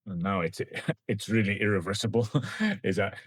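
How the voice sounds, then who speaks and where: A man in his forties from Kenya, a scientist answering interview questions in a thoughtful, halting way with light laughs. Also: fairly low pitch, dry recording, clean studio sound. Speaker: scientist guest.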